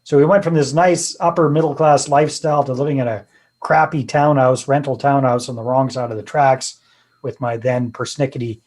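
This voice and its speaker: A man's voice: mid-range voice, steady tone, brisk, darkish tone. A middle-aged Canadian man from British Columbia, a podcast guest telling his story in a chatty, self-deprecating way and laughing easily. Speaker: podcast guest, real estate investor. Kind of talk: casual storytelling interview.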